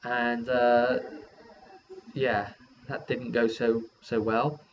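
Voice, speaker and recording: A man with an average-pitched voice casually retells a role-playing session as its game master. He rambles along informally as he narrates. The recording is dull and lo-fi, with faint noise behind him. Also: level tone, drawn-out words. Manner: rambling, acts out quoted dialogue informally. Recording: dull lo-fi audio with background noise.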